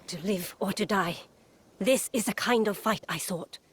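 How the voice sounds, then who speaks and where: A woman's voice: mid-range voice, moderate pace, slightly rough, close to an everyday voice. Proud defiant woman warrior played by an actress. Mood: bold, resolute. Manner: poetic, formal, earnest. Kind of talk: cinematic video game acting.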